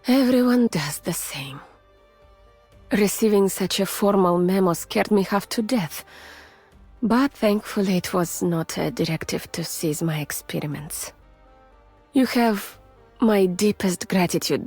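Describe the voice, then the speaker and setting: Soft, breathy, weary Russian scientist. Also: female voice, on the low side. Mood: grateful, earnest. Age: adult, mature. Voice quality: soft, breathy, often near a whisper. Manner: measured, formal, restrained. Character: gentle, weary, haunted Russian woman scientist. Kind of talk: soft, intimate ASMR-like game voice acting.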